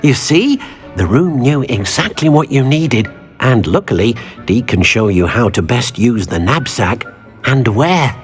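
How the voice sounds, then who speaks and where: A man's voice: big pitch swings, measured pace. An actor voicing a small, timid male house-elf servant in a video game, who talks about himself by name in an eager, fussy way. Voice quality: husky, high squeaky. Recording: game audio with faint music.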